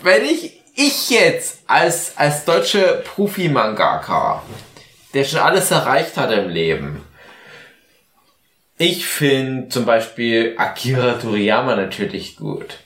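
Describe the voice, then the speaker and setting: Male podcast host, German, tipsy and jokey, unhurried casual banter in an echoey room. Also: medium pitch, big pitch swings, fairly bright tone. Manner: rambling, joking, mock-hypothetical. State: drunk.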